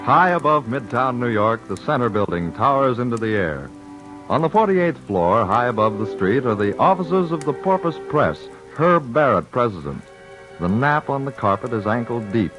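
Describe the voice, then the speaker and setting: A man announcing and narrating a radio drama in a measured, descriptive way. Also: medium pitch, sing-song. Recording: lo-fi old broadcast with music.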